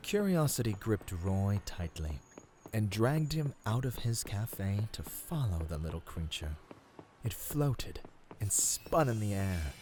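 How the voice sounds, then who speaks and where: A man giving a measured, theatrical and formal storybook narration for an ASMR roleplay. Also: on the low side, noisy background.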